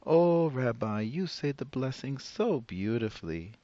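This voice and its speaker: A man voicing a kindly, fussing old grandmother in a bright, sing-song voice. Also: medium pitch, very animated, unhurried, no echo, muffled recording, voice pushed up. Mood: cheerful.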